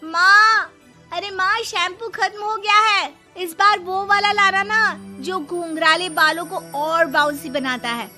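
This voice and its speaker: A woman voicing a dramatic, girlish college student in a cartoon, whiny and boastful, with exaggerated drawn-out delivery. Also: very high-pitched, pushed and bright, faint music behind, voice pushed up, full character voice. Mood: excited, pleading. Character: dramatic college girl, whiny and boastful about her curly hair.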